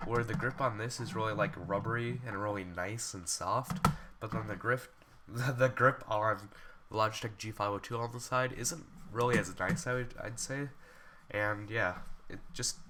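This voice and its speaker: A young man with a cold, sounding congested, casually reviewing computer mice and rambling with fillers and restarts. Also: lowish voice. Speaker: tech reviewer. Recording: faint background noise, poor quality capture.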